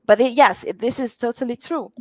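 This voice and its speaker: A woman's voice: a little high, brisk, darkish tone, no room sound. A woman whose first language is Italian speaks as a university representative in an interview, hesitating often with fillers. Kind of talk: interview answers.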